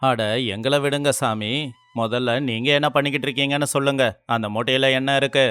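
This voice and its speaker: A man's voice: medium pitch, steady tone, a little fast, a bit bright, smooth, no echo, soft background music, close to an everyday voice. A man acting an indignant, accusing villager who exposes a fraud in a moral-story drama.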